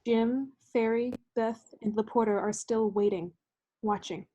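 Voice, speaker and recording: A woman's voice: on the high side, fairly even intonation, a little slow, dark tone, poor audio. A woman reading stage directions as scripted narration for a staged play reading. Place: remote staged reading over video call. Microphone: video call microphone.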